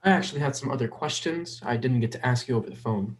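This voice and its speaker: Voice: medium pitch, lively intonation, fast, lightly acted. An actor playing a polite, reserved nonbinary psychology hopeful in their twenties in a dramatic script reading. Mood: curious, tentative.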